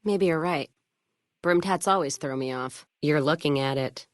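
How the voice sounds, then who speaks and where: An actress playing a hard-drinking, foul-mouthed, bitter caravan trader in a video game, with a gruff, sardonic, blunt manner. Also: female voice, sits low, normal speed, no room sound, studio quality, natural voice. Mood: scornful, sardonic. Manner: gruff, sardonic, blunt frontier drawl of speech, dropped words. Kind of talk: video game character dialogue.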